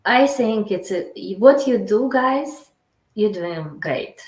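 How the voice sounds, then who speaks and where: A woman from Ukraine speaking halting, non-native English with many fillers, as a guest in a casual interview. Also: mid-range voice, lively intonation, a little mellow, reverberant.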